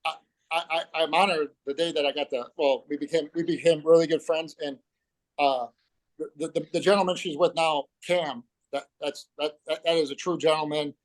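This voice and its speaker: A man co-hosting a podcast, talking casually and halting through many false starts. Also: on the high side, fairly even intonation, poor audio. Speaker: podcast co-host. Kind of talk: casual conversation.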